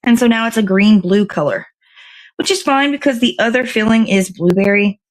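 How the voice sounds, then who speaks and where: A woman's voice: mid-range voice, moderate pace, low-quality recording. Chatty woman hosting a casual live show. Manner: chatty, rambling, thinks aloud. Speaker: host of a morning show. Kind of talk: casual live chat while assembling a dessert.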